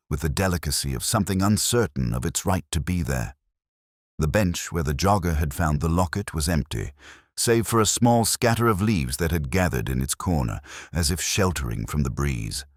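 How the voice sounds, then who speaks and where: A very deep, dry-sounding older male detective, voiced by a man, weary and melancholy. He narrates a literary radio mystery in a sombre, measured way. The pitch moves expressively within that low register. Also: dry recording, heavily acted.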